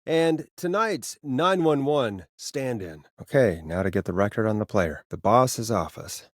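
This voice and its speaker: A smooth, cheeky radio host on a late-night rock show for a video game. His pitch swings widely as he talks like a showy announcer. The man's voice sounds close and dry, with no room around it. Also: lively intonation, a little slow, no room sound. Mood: muttering, puzzled. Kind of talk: radio DJ patter and game voice lines.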